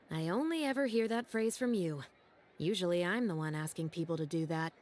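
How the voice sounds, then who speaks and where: A woman voicing a dry, by-the-book female patrol captain in a video game, wry and measured in manner. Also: lowish voice, animated, lightly acted. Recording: game audio with faint music. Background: soft game music.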